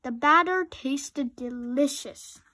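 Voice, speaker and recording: A woman's voice: high voice, takes it slowly, sharp tone, dry recording, clean studio sound. A woman reading a children's storybook aloud, chatting to young listeners between passages. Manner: asks young listeners questions. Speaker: storybook reader.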